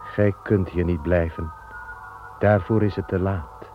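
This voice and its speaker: A man playing a solemn angel who speaks slowly and gravely in an old radio play. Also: sits low, fairly even intonation, soft background music. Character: solemn angel Michael, calm and prophetic. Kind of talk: 1960s Dutch radio drama. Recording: dull old radio recording.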